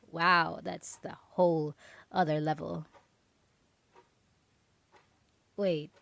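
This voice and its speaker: A woman playing a possessive roommate who feigns innocence in an ASMR roleplay, speaking in a halting, nervous, sweet manner. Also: fairly low pitch, gravelly. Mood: feigned concern. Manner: halting, nervous, giggly, hesitant with many trailing pauses. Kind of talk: ASMR roleplay audio drama monologue.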